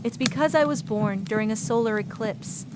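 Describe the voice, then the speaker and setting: A woman's voice: on the high side, a little fast, background noise, close to an everyday voice. A woman voicing a sleepy, wry teenage boy from the slums with an offhand manner in an audiobook. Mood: wry, indifferent.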